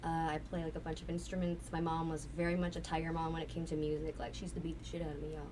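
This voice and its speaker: A woman with a raspy voice, chatting candidly and at length as a guest, swearing casually. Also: low voice, flat delivery, very fast. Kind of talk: casual interview chat. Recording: distant mic with faint noise.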